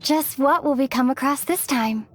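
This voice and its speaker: A woman voicing a tiny, childlike fairy companion in an English video game dub, squeaky and exclaiming. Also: normal speed, fairly bright tone. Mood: wondering.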